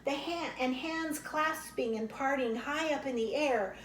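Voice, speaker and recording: Higher-voiced woman reading aloud in echoey room. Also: a bit bright. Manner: unhurried, explains and chats to young listeners. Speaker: read-aloud storyteller, teacher. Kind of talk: expressive children's book read-aloud with casual asides. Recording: roomy echo, faint background noise.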